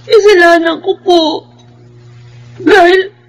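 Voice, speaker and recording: Napakatinis na boses ng dalaga. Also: female voice, very high-pitched, steady tone, slow, exaggerated voice. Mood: tearful, ashamed. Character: meek, innocent-sounding young daughter. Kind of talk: Filipino radio drama acting.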